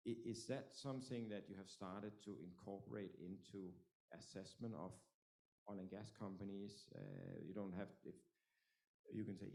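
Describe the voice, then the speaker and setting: A man moderating a panel discussion, halting with frequent restarts. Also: sits low, gravelly, warm tone.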